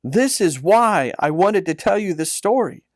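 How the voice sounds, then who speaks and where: Storybook uncle, elderly, devout and tender, played by a man; unhurried, earnest delivery, dry sound. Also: sits high, animated, dry recording, pitched above the performer's own voice. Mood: joyful, excited. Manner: earnest, deliberate. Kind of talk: storybook dialogue.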